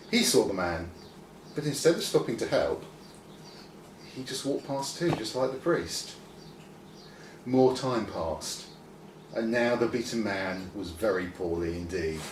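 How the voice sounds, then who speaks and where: A man reading a scripted children's story expressively, with deliberate pauses. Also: fairly low pitch. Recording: roomy, distant mic, faint noise.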